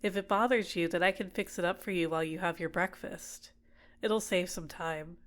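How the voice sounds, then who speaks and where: A woman playing a doting, possessive villain in an ASMR roleplay, speaking in a gentle, coaxing, soothing way. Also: on the low side, normal speed, close to an everyday voice. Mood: teasing, doting. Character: doting, possessive female villain caring for a captive. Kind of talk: ASMR roleplay, personal attention.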